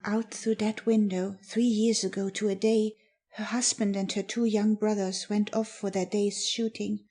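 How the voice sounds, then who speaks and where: A woman playing a self-possessed young girl who tells an eerie tale in a soft, confiding way for an audiobook. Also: medium pitch, flat delivery, normal speed, natural voice. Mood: composed, confiding. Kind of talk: dramatic audiobook reading.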